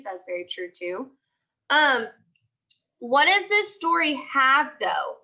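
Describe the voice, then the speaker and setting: A woman's voice: fairly high pitch, bright, forceful tone, lo-fi sound. A woman teacher leading a live online class discussion, prompting with repeated questions and short commands.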